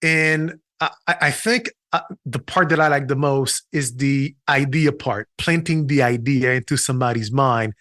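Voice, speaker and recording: A man's voice: mid-range voice, normal speed, few pauses, fairly bright tone, dry recording, clean studio sound. A middle-aged man from Haiti, a physician, telling his story in an earnest way with restarts in a podcast interview. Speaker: physician and patient experience consultant.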